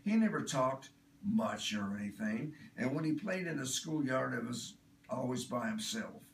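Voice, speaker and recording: A roomy, slightly distant recording of a man acting as a chatty, self-absorbed do-gooder. The voice is mid-pitched and gravelly. The monologue is conversational and confiding, with earnest emphasis. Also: lightly acted. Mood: sympathetic. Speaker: author reading own work. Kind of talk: dramatic first-person monologue reading. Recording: roomy, distant mic, faint noise.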